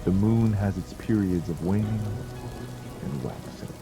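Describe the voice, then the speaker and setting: A man with a low voice narrates a dramatized ancient treatise. His delivery is solemn and measured, set in short phrases. Music and rain play clearly behind him. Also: animated. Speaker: audiobook narrator. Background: background music with falling rain.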